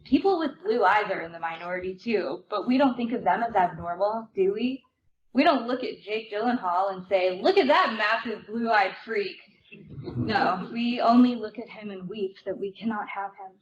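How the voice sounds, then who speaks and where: A woman giving an expressive, emphatic dramatic reading of book excerpts at a live event. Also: medium pitch, moderate pace. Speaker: theater reader. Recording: dull recording with clear background noise.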